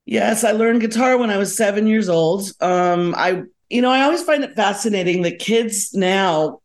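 A middle-aged or older woman from South Florida talks as a podcast guest in a medium-pitched voice. She is chatty and casual, wandering into asides and correcting herself as she recalls her life. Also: moderate pace. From South Florida, United States. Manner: chatty, digressive, self-correcting. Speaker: podcast guest, musician and educator. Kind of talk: casual reminiscing interview chat.

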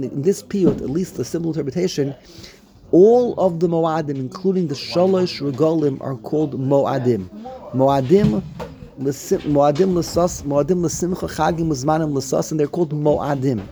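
Man with a cold, gravelly voice. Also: a little high, mellow. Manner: chatty, mixes Hebrew and Yiddish-flavored terms, explains line by line. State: congested with a cold. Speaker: Torah teacher. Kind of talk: Torah class on Haggadah piyut.

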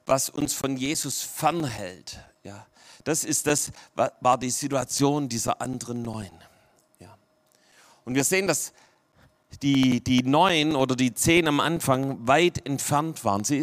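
A man's voice: medium pitch, measured pace. A man from Swabia, preaching a sermon to his congregation, often checking in with "ja?" and dropping to a near-whisper for emphasis. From Swabia, Germany. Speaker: pastor.

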